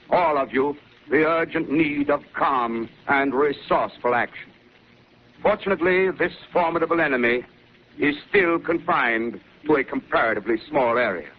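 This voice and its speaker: A grave government secretary, acted by a man, addresses the nation in slow, oratorical tones. This is 1930s radio drama, heard through a dull, hissy broadcast. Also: medium pitch, natural voice.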